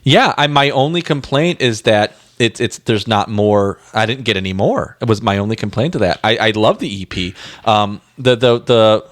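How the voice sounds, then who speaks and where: Male podcast co-host, lower register, very dry sound, informal and emphatic music discussion. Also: moderate pace, hardly any pauses, dry recording.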